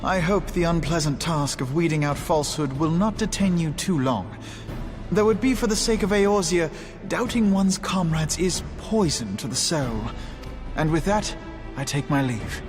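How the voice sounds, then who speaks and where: A man's voice: fairly high pitch, animated, normal speed, natural voice. An actor playing an earnest, courteous young male scholar in a fantasy game, speaking formally. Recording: hall reverb under music.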